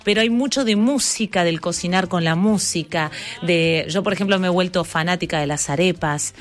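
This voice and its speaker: A middle-aged woman with an Argentine Rioplatense accent, chatting casually as a guest with wry, animated storytelling and asides. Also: lowish voice, normal speed. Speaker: stage performer and interview guest. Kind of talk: casual humorous chat.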